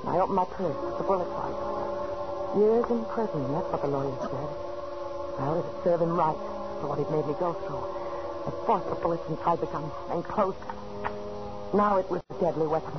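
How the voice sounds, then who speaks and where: An actress playing a frightened, scheming wife who narrates anxiously in the dramatic style of a 1940s radio thriller. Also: female voice, sits low, moderate pace, very noisy, close to an everyday voice. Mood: tense, brooding. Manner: anxious, dramatic first-person delivery. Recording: muffled old 1940s radio recording, organ music.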